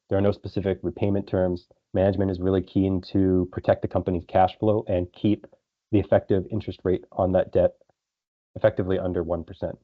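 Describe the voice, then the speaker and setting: Man, company executive, deep bass-leaning pitch, explanatory earnings presentation, dull low-fidelity recording. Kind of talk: prepared financial presentation on an earnings call. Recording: dull lo-fi conference call audio.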